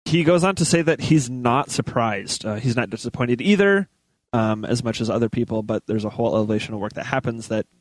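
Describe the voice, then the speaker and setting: A man's voice: mid-range voice, fairly quick, no echo, poor audio. A man co-hosting a talk show, casually reading out news items with offhand asides.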